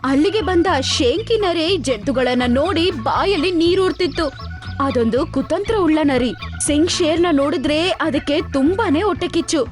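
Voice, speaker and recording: A woman's voice: high-pitched, animated, normal speed. A woman narrating a scripted animal cartoon story as its storyteller. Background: light background music.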